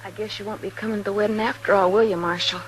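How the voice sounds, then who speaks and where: A woman's voice: medium pitch, fairly even intonation, rapid-fire, faint music behind, natural voice. An actress playing a weak, weary woman of the old West in 1950s radio drama style. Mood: weary, bitter. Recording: noisy vintage 1950s radio recording.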